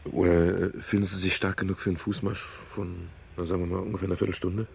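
A man's voice: low voice, little pitch movement, fast talker, a little mellow, a little hiss or hum. An actor playing a weary, world-worn male private detective who acts and narrates in a German radio crime drama. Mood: gently concerned. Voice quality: gravelly, husky.

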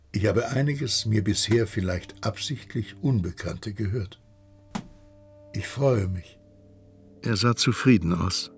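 An actor playing an anxious, brooding storyteller who talks to himself in a literary radio drama. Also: male voice, lowish voice, creaky. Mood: earnest, polite, then reflective. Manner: introspective, talking to himself. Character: anxious, brooding first-person male storyteller. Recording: music behind the voice.

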